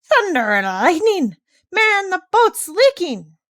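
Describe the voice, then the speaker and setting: A woman's voice: medium pitch, moderate pace, a bit bright, natural voice. A woman voicing a boastful male pirate captain who gloats and blusters at his captive in a storybook reading. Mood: alarmed.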